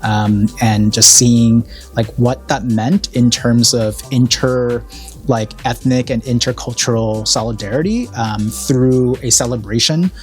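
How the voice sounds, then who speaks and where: A man's voice: mid-range voice, unhurried, faint music behind. A man who was born in Korea, a college program director, speaking earnestly and reflectively in an interview with many fillers. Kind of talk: interview talking about an event.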